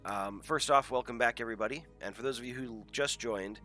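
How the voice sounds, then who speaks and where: A man's voice: mid-range voice, a little fast, bright, forceful tone, soft background music. A man hosting a live game stream, chatting casually and self-deprecatingly to viewers through a headset, congested from a head cold.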